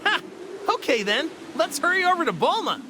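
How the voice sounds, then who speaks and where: A man's voice: extremely high pitch, very animated, moderate pace, very noisy. A man voicing a cheerful, naive martial-arts hero in a video game, simple, eager and boyish. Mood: upbeat. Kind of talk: English game dub acting. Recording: loud music behind the voice. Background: loud game music.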